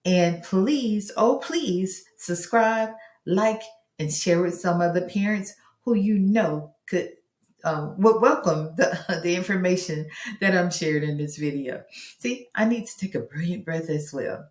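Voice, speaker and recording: A woman with a slightly low voice speaks in an echoing room, giving instructional advice to parents. She is encouraging and repeats her key phrases with emphasis. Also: a little slow, a little mellow. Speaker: host of a parenting tips show. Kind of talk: instructional talk to parents, direct address. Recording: roomy, echoing voice recording.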